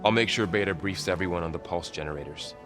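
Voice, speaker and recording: A man's voice: low voice, fast talker, lightly acted. A man playing an earnest, reflective companion in video game dialogue, sincere in manner, with music behind him. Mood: resolved.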